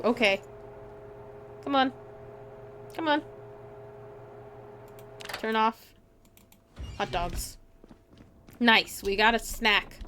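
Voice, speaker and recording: A woman's voice: mid-range voice, a bit bright, a little hiss or hum. A woman streaming a video game live with casual, chatty commentary, often muttering to herself and to game characters.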